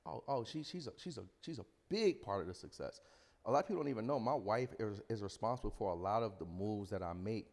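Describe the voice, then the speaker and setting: Fast-talking, low-voiced New man. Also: expressive, vocal fry, a little mellow. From New York (the Bronx). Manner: emphatic, repeats phrases, checks in with "you know what I'm saying". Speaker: podcast guest, entrepreneur. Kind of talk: conversational interview storytelling. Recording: reverberant hall-like room echo.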